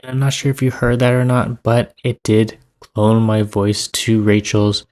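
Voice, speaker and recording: Man presenting a casual software tutorial. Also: lowish voice, level tone, measured pace. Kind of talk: casual step-by-step screen tutorial narration.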